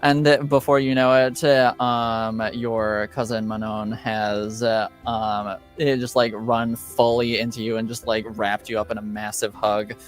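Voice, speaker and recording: A man narrating as game master of a tabletop game, halting and filled with pauses. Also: lowish voice, flat delivery, faint music behind.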